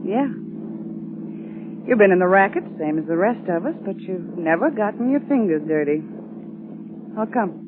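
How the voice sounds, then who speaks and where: A woman's voice: mid-range voice, lively intonation, normal speed, long pauses, lightly acted. An actress playing a coaxing, scheming, coolly seductive female accomplice in 1940s radio drama style. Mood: cool, flirtatious. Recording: narrow, noisy 1940s radio recording.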